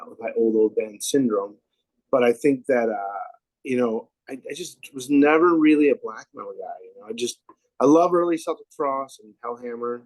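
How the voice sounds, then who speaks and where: A man hosts a relaxed chat, asking questions and laughing easily. His pitch is ordinary, and the recording is dry and close with no room echo. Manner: laid-back, laughs easily, asks questions. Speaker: podcast host. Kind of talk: casual interview chat.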